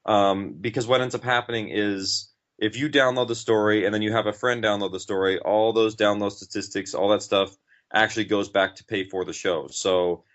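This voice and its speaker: A man's voice: fairly low pitch, steady tone, moderate pace, a bit bright. A man talking casually as an interview guest, in an informal, rambling and enthusiastic way. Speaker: interview guest, podcast writer and director. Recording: dull, lo-fi audio.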